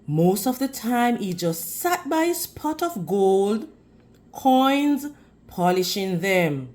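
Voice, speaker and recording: Woman, children's read-aloud storyteller, slow delivery, lively intonation, teacherly, question-prompting style. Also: medium pitch.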